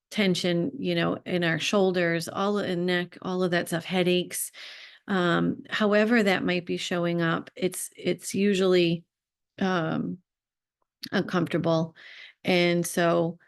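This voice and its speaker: Woman with creaky, even-toned voice. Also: fairly low pitch. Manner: halting, thoughtful, with pauses. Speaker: spiritual self-healing guide. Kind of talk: unscripted reflective talk to viewers.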